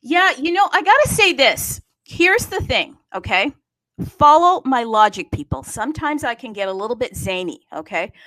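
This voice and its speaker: A woman's voice: high-pitched, normal speed, dry recording, rough recording. A woman hosting a live online audio space, talking casually and rhetorically, often posing questions and answering them herself. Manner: animated, rhetorical, asks and answers own questions. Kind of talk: casual, unscripted community talk.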